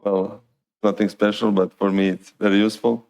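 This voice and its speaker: A man's voice: lowish voice, level tone, moderate pace, creaky, darkish tone, lo-fi sound. A man with a Croatian accent giving an informal, hesitant talk to a conference. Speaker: conference lightning talk speaker. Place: conference stage, indoors.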